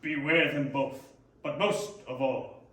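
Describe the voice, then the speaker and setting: A man playing a solemn, stern dying Christmas spirit in a readers theater, speaking gravely and deliberately. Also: mid-range voice, fairly even intonation, a bit bright. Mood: stern warning. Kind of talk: readers theater stage reading. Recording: very echoey room, distant mic.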